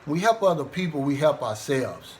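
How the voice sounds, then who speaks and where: A man's voice: a little high, big pitch swings, fairly quick, a little hiss or hum. A middle-aged American man talking casually to his viewers in a folksy, earnest, plain-spoken way. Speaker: vlogger.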